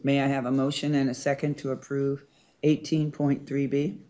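A man's voice: mid-range voice, flat delivery, normal speed, dark tone. A man serving as board president, reading agenda items and calling motions and votes in a formal meeting. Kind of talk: formal procedural meeting chairing.

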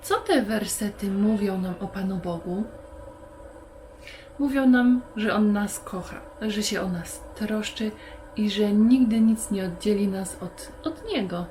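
Woman, children's Bible teacher, slow unhurried pace, simple questions, faint background noise. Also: mid-range voice, a little mellow. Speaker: children's Bible lesson host.

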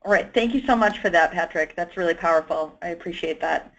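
A woman's voice: on the low side, fairly quick, a bit bright. Chatty woman hosting a webinar, lo-fi sound. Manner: friendly, chatty, thanks each speaker. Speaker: webinar host. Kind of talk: casual webinar moderating. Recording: dull lo-fi online call audio.

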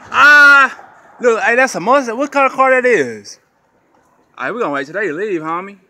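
A man, talking casually as he films outside. His pitch swings widely and his tone is bright and sharp. He keeps repeating himself in slangy, taunting street commentary. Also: animated, normal speed. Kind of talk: casual excited street commentary while filming. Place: outdoors at night.

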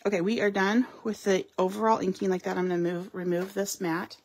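A woman's voice: medium pitch, little pitch movement, fairly quick, a little mellow, a little hiss or hum. A woman giving a live card-making demonstration, chatting and explaining as she works, with frequent ums. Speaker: craft store demonstrator. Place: craft table at home or in a studio.

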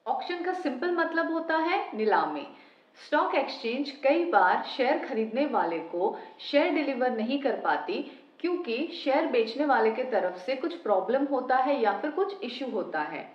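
A woman walks through a trading app step by step in steady, scripted narration. Her voice sits fairly high. It is recorded in a roomy space with a slightly dull sound. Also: moderate pace. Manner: steady, explanatory, step-by-step walkthrough. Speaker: finance channel host.

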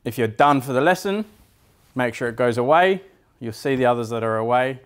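A man's voice: medium pitch, expressive, fairly quick, clean tone. A man in his thirties giving an informal hands-on demonstration as a woodwork teacher, often checking in with short tag questions. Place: school woodwork workshop, indoors.

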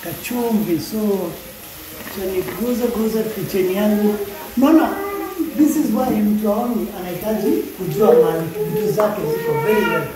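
A man's voice: high-pitched, very animated, normal speed, noisy background. A man with a Kenyan accent chatting casually as he cooks in a small home kitchen, mixing languages and half-singing repeated words. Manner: chatty, mixes Swahili and English, sing-song repeats words. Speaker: home cook vlogger. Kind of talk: casual cooking vlog. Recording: echoey kitchen with music behind.

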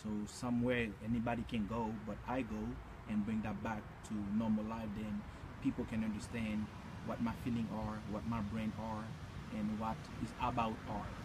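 A man's voice: fairly low pitch, completely flat delivery. Earnest Haitian-accented man, outdoor traffic. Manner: halting, earnest, non-native phrasing. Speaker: artist guest. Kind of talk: casual interview. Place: outdoors by a stone balustrade. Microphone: phone held at arm's length. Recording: phone video outdoors with loud traffic noise.

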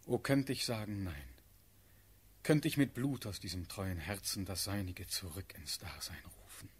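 An ardent young prince in love in a classical radio drama. His low voice is extremely husky and breathy as he delivers fervent, halting verse. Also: heavily acted. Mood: consoling, fervent. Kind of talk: classical German radio play verse acting.

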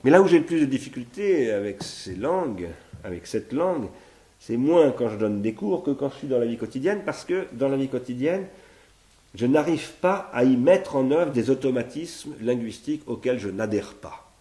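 A man's voice: mid-range voice, lively intonation, normal speed, dark tone. A man in his sixties from France giving a philosophy seminar at home, reasoning aloud in a searching way, often restarting and repeating words. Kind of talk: spoken philosophy seminar, partly read from notes. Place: living room, indoors. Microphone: fixed camera at medium distance. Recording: echoey room.

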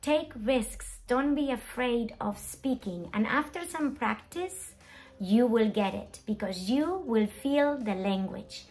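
A middle-aged woman presenting an English grammar lesson as a teacher, expressive and emphatic, with deliberate enunciation and rhetorical questions. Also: fairly high pitch. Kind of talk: animated scripted grammar lesson. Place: small indoor room at a whiteboard.

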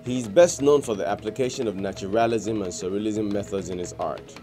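A man's voice: lowish voice, fairly quick, mellow. A man with a Nigerian accent reading a scripted biographical profile as a narrator. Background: music.

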